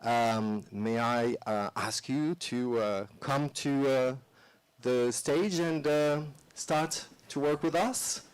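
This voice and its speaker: A man's voice: medium pitch, slow, slight background noise. Hesitant man moderating a seminar. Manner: hesitant, filled with uh and restarts. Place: press club seminar room.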